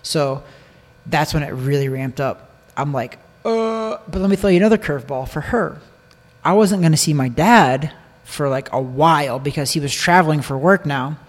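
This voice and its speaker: Higher-voiced American man, casual storyteller, reverberant. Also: moderate pace. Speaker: podcast speaker telling a personal story. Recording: long echoing reverb.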